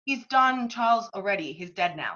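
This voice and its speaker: Bright, sardonic woman as a heckling stage actor. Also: mid-range voice, animated, normal speed. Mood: dry, needling. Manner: sarcastic, needling. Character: cheeky, sardonic male stage actor who heckles his colleagues. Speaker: amateur actor in a remote group reading. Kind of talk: comic stage-play reading. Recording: dull, lo-fi internet call recording.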